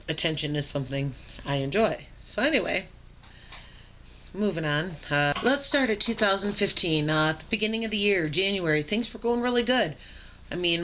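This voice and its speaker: A young adult woman with a raspy low voice, telling her own story in a casual vlog, plain and matter-of-fact with many ums and uhs. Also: bright, forceful tone, a little hiss or hum, low-quality recording. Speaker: vlogger.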